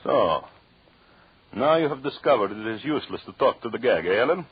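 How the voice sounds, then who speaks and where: An actor playing a jealous, murderous husband in an old radio drama, with a theatrical, mocking menace and formal phrasing. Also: male voice, medium pitch, expressive, brisk, slightly rough, no echo. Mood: mocking, gloating. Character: vengeful, jealous husband, cruelly gloating murderous anthropologist. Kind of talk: 1940s radio drama acting. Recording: dull, lo-fi old radio recording.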